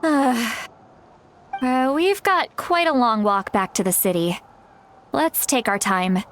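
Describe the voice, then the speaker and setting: A woman's voice: fairly high pitch, slow, a little hiss or hum. A woman voicing a hot-tempered but caring old granny in a video game, scolding in an exasperated, emphatic way. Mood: calmer, weary. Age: older.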